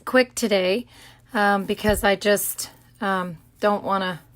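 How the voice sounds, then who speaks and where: A middle-aged woman with a hoarse, scratchy throat hosts a casual craft livestream from her home studio. She chats warmly with viewers by name and fills pauses with many ums. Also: mid-range voice, steady tone. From Florida.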